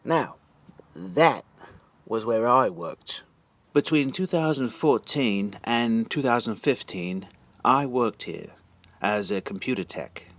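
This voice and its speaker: Slow-talking man in a dry, close recording. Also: mid-range voice, animated, no room sound. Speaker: video narrator and amateur reader. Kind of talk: casual monologue commentary.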